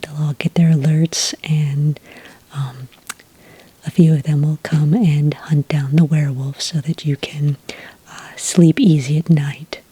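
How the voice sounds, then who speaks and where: A woman speaks in a very breathy near-whisper, low and dark in tone. She is gentle and unhurried, like a polite official taking details in an ASMR roleplay. The sound is close and dry. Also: level tone, no echo. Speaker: ASMR roleplayer acting as a werewolf report agent. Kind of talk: soft-spoken ASMR roleplay.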